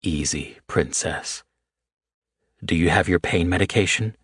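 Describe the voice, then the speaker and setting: A man voicing a gruff, guilt-ridden man who speaks softly and tenderly. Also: deep voice, unhurried, no room sound, studio quality. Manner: soft, gruff.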